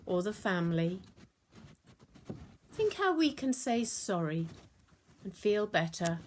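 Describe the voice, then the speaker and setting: A woman reading a scripted Bible story for children with a simple, deliberate and expressive delivery. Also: on the low side, slight background noise. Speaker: storyteller and prayer leader.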